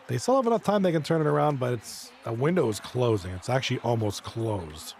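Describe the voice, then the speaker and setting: A man with a hoarse, fading voice doing casual play-by-play commentary on a live stream, chatting with viewers between plays. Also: mid-range voice, animated, fairly quick, a little mellow, slight background noise. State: hoarse, losing his voice. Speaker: esports league commentator.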